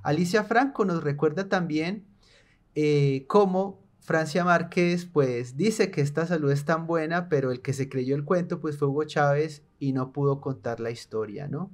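A young Colombian man delivers biting political commentary from a small room, with a somewhat high voice. His tone is sarcastic and indignant throughout. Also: unhurried. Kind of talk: opinionated video commentary.

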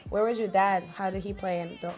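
A woman's voice: mid-range voice, steady tone, brisk, darkish tone, soft background music. A woman in her late twenties hosting an interview, chatty and teasing in a casual way. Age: 20s. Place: small studio with branded backdrop.

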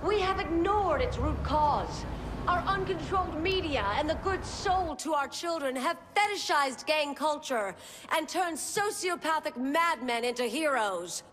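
A stern official giving a speech. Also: female voice, sits high, animated, a little slow. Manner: formal, speechmaking. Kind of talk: video game cutscene. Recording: reverberant hall, noisy.

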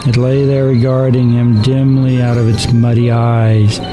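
Male horror story narrator over loud music. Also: medium pitch, level tone. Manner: deliberate, dramatic storytelling. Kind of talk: scripted horror story narration for a radio play.

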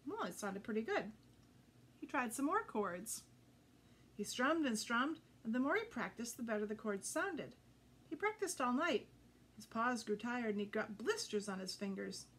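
A woman in her fifties reading a children's story aloud with expressive, deliberate phrasing. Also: a little high, breathy edge. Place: indoor room with green curtain backdrop.

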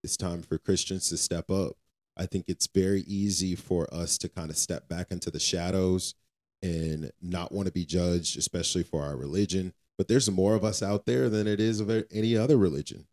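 A man's voice: sits low, steady tone, moderate pace, a little mellow. A man co-hosting a faith podcast, talking casually in an earnest, exhorting way and repeating phrases for emphasis. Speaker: podcast co-host.

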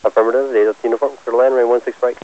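A man's voice: mid-range voice, little pitch movement, very fast, mellow. A man working as an air traffic tower controller, speaking in clipped procedural phrases over aviation radio. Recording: muffled, lo-fi airport radio transmission.

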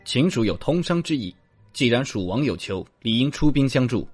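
A man playing a shrewd, courteous but indignant court strategist in an audio drama, speaking in formal, measured phrases. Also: mid-range voice, big pitch swings, husky, soft background music.

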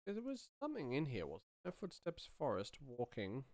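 A man in his thirties, a sound designer, thinking aloud casually in low-key short fragments as he works in a small studio. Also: wildly swooping pitch, moderate pace, darkish tone. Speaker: sound designer, tutorial host. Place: small home studio room indoors.

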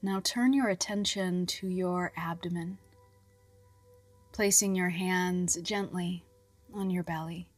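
A woman reading a scripted guided relaxation in a soft, soothing, measured voice with long pauses and breathy phrase endings. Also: lowish voice, steady tone, no room sound. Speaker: guided meditation narrator. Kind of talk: scripted hypnobirthing relaxation guidance. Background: music.

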